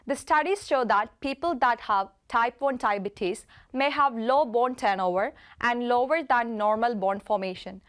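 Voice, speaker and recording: A woman in her twenties from India, a medical student reading a lecture presentation steadily, with an occasional slip. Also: fairly high pitch. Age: 20s. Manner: reads steadily from slides, occasional slips.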